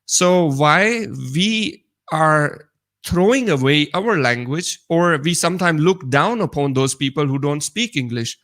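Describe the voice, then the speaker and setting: Higher-pitched Pakistani man, halting delivery. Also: lively intonation, fairly bright tone. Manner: halting, searching for words. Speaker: co-host. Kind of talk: casual live discussion, reading viewer comments.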